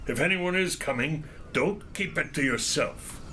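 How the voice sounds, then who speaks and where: An actor playing a fearsome, belligerent knight in an audio drama, taunting and challenging. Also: male voice, a little high, a little slow, sharp tone, slight background noise. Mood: taunting, threatening.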